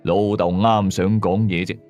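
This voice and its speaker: A man's voice: low voice, fairly even intonation, unhurried, a little mellow. A man with a Cantonese accent narrating a scripted first-person ghost story. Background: faint music.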